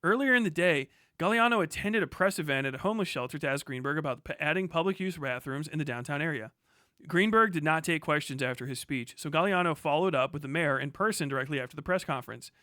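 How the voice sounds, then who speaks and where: Bright, high-pitched man, blunt streamer. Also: animated, fast, dry recording, clean studio sound. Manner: blunt, profane, emphatic. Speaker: live streamer commenting on local news. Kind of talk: casual opinionated livestream commentary, reading news aloud.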